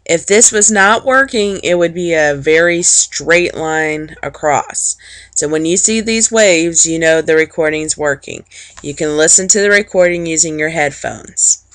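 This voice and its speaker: A woman teacher narrating an informal, plain-spoken software walkthrough step by step, explaining things for students. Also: low voice, a little slow, dry recording. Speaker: teacher giving a software tutorial.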